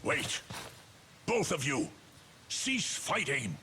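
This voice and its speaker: A man's voice: fairly high pitch, wildly swooping pitch, drawn-out words, sharp tone, slight background noise, full character voice. A man playing a grave, regal older king and father in a fantasy game, speaking solemnly and with command. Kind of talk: dramatic fantasy game voice acting. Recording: music behind. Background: music.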